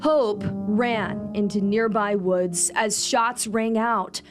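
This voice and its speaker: Slow, solemn woman narrating over music. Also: medium pitch, steady tone. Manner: solemn, dramatic measured delivery. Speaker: news reporter narrating a feature. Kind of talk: scripted narration. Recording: music bed under voice-over. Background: instrumental music.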